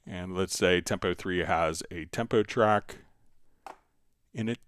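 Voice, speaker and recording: A man's voice: low voice, slow, a bit bright, clear, resonant tone, no room sound. Man, tutorial host, clean resonant voice, dry close sound, casual step-by-step explaining. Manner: explains step by step while working. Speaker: live stream host, software instructor. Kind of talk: casual software tutorial, answering viewer questions.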